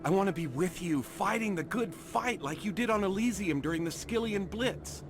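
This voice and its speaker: High-voiced, earnest male superfan. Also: normal speed. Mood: pleading, starry-eyed. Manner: earnest, excitable, boyish. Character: eager, naive male superfan wanting to be a hero. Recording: game music behind the voice.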